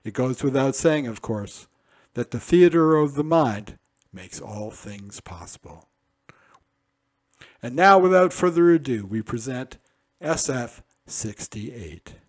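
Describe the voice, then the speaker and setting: A man's voice: medium pitch, expressive, slow. A man hosting a radio archive show, reading a scripted introduction. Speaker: host.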